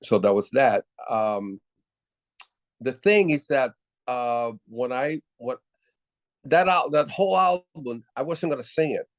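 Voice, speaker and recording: Low-voiced older man from Puerto Rico. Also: dry recording. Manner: halting, many restarts and fillers. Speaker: guest musician. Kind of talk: casual interview storytelling.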